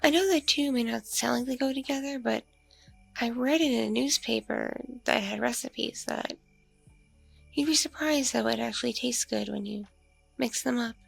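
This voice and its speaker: A woman playing a mature, flirtatious, spacey female vampire in an ASMR roleplay, speaking softly and hesitantly, as if in a one-sided intimate conversation. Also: fairly high pitch, vocal fry, breathy edge, faint music behind, full character voice. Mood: friendly, chatty. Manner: hesitant, murmuring, lingering pauses with ums and mms. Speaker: roleplay voice performer.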